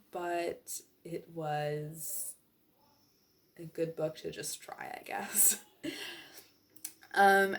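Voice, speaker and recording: A young woman chatting casually to viewers as a book vlogger, informal and peppered with "like" and offhand asides. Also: on the low side. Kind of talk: casual chat to viewers, monthly reading wrap-up.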